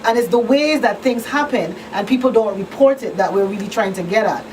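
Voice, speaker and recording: A woman's voice: medium pitch, fairly quick, darkish tone. A woman talking conversationally in a panel discussion, explaining her points and often checking that listeners follow. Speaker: talk show co-host and victim advocate. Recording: distant mic, faint background noise.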